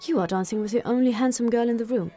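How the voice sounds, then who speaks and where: A haughty young gentleman played by a woman reader in a classic storybook reading. The delivery is cold and clipped, full of aloof disdain. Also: fairly high pitch, fast, faint music behind, lightly acted. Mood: cold, disdainful. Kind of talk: audiobook character voice.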